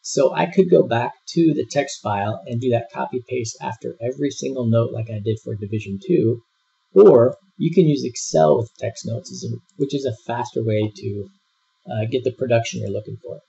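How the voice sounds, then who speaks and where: A man giving a screen-recorded software tutorial, walking through each step in a relaxed way with frequent fillers. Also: medium pitch, moderate pace, gravelly, breathy edge. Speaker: software instructor. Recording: dull lo-fi voice-over.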